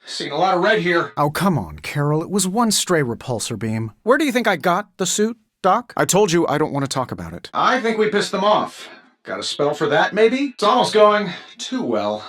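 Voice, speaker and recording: An actor with an American accent playing a cocky, wisecracking genius billionaire superhero in a video game, with glib, sarcastic banter. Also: male voice, on the high side, very animated, brisk, a bit bright, no room sound, studio quality. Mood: quick quipping.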